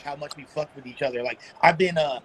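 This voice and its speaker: A man lays out a long explanation in a measured way, at a quick pace and a somewhat high pitch. A faint room noise is heard behind him. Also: low-quality recording. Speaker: battle rap league guest. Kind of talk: casual chat.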